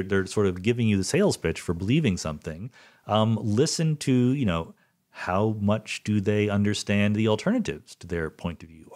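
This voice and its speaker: Low, animated man explaining things casually. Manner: conversational, often checking with "right?". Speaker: podcast host answering listener questions.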